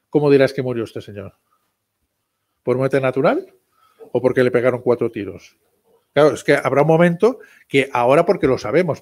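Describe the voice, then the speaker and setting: A man's voice: mid-range voice, a little mellow. Emphatic man from Barcelona, author. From Barcelona, Spain. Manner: emphatic, repeats words while arguing. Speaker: book author. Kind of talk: book presentation talk. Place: library hall, indoors. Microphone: table microphone.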